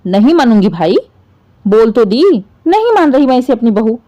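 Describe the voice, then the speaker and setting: A woman's voice: big pitch swings, normal speed, warm tone. A woman voicing a bitter, possessive middle-aged mother-in-law in a dramatic story, scolding and complaining. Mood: defiant. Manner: shrill indignant complaining, sarcastic.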